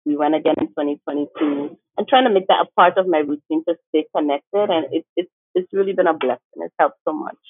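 A woman's voice: deep voice, quick pace, few pauses, breathy edge, no echo, faint music behind. A woman from St. Martin, a teacher, speaking earnestly and emphatically as a guest in an interview. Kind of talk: conversational interview. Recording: tinny phone-like line.

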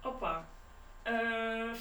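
A woman's voice: a little high, drawn-out words, sharp tone. A young woman with a Lisbon-area Portuguese accent, talking informally as a podcast guest and thinking aloud in broken phrases. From Portugal, Sintra area. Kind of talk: casual conversational interview. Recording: roomy, distant mic, faint noise.

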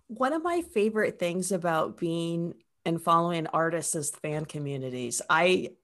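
A woman's voice: fairly low pitch, expressive, moderate pace, fairly bright tone. A middle-aged American woman from Northeast Ohio, a university teacher, chatting enthusiastically and rambling with fillers on a video call. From Northeast Ohio, USA. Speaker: guest, university media studies teacher. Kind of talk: casual chat on a call.

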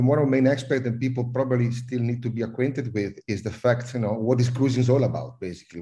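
A man's voice: medium pitch, mellow. A middle-aged man with an Italian accent, a guest in a podcast interview who often hesitates and repeats words. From Italy. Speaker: guest, cruise industry advisor.

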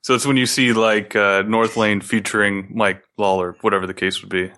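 A man's voice: fairly low pitch, flat delivery, normal speed, sharp tone. A man in his early thirties co-hosting a podcast and asking questions in casual chat. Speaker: podcast co-host.

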